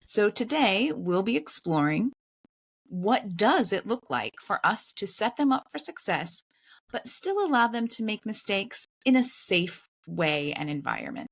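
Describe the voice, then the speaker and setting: A woman podcast co-host speaking in a measured, reassuring way as she reads prepared lines. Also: medium pitch, sing-song. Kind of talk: semi-scripted podcast intro.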